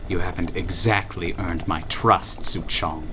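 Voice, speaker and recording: A cold, commanding tycoon in a radio drama. His low voice moves slowly and imperiously, full of suspicion, over faint background noise. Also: fairly even intonation. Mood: cold, suspicious. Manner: measured, imperious.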